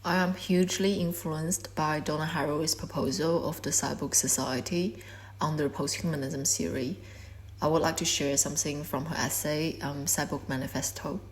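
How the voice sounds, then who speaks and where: A woman in her twenties whose first language is Korean gives a measured, reflective talk about her art. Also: deep voice, fairly even intonation, slight background noise. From China. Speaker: artist. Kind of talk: prepared artist talk.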